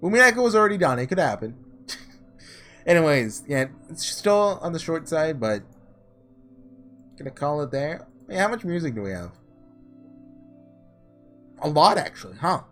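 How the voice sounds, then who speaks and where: A man's voice: a little high, very animated, normal speed, pauses often, soft background music. A man hosting a casual gaming playthrough, thinking aloud informally and reading visual novel text. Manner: informal, musing out loud. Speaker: gaming video host.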